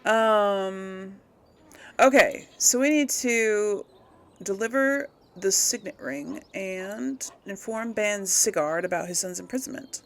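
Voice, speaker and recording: A woman giving casual game commentary as she plays, musing and thinking out loud to her audience. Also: mid-range voice, slow. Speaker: gamer, host of a role-play let's play.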